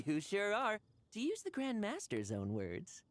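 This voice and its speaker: A woman's voice: medium pitch, huge pitch swings, unhurried. A woman playing a confident, battle-loving young knight in a video game, breezy and polite in manner. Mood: cheerful. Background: background music.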